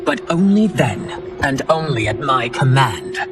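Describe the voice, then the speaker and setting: A stern, commanding empress. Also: female voice, extremely low pitch, animated, measured pace. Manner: formal, commanding, scolding. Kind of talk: video game cutscene acting. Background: game music.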